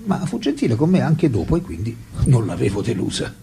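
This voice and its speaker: An actor playing a candid, detached French intellectual man recalling his life in a radio drama, with a husky voice and reflective, hesitant delivery. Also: mid-range voice, huge pitch swings, fairly quick, warm tone, a little hiss or hum. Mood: candid, dismissive. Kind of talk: radio drama acting, memoir monologue and dialogue.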